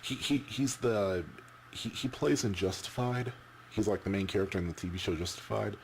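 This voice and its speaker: A man giving casual, off-the-cuff opinions as a vlogger, halting and repeating words as he rambles. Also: fairly low pitch, vocal fry, a little hiss or hum.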